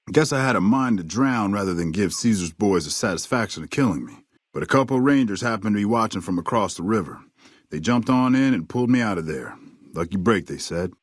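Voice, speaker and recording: A husky, drawling veteran sniper. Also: male voice, on the low side, no room sound, clean studio sound. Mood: wry, reflective. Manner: folksy, unhurried, understated. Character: weathered veteran army sniper, courteous, laconic old ex-scout. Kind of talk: video game character dialogue.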